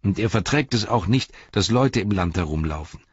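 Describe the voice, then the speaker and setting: A man's voice: lowish voice, lively intonation, moderate pace, breathy edge, no room sound, dull audio, voice pushed up. A man voicing a timid, grumbling hobbit constable. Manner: complaining, confiding, nervous.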